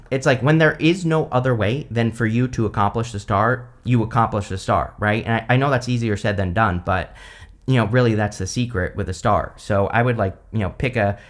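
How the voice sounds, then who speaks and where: A man's voice: mid-range voice, a bit bright. A man giving a casual tarot reading to his viewers. He talks in a loose, rambling way, filling his speech with "like" and "you know" and laughing lightly now and then. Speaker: tarot reader, channel host.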